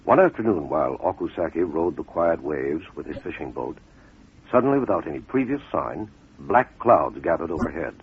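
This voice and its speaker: A man narrating a fable as a scripted dramatic storyteller, in measured, deliberate phrases with pauses for effect, on a muffled radio recording. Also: sits low, husky.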